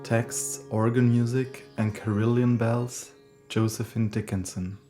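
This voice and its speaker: A man announcing scripted credits in a measured, list-like delivery with pauses between items. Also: mid-range voice, flat delivery. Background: plucked string music.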